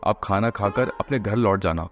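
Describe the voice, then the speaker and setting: A man's voice: on the low side, normal speed, muffled recording, faint music behind, natural voice. A man acting a haughty, status-proud rich brother. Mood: stern, ashamed. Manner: haughty, scolding. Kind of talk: Hindi moral-story cartoon dialogue.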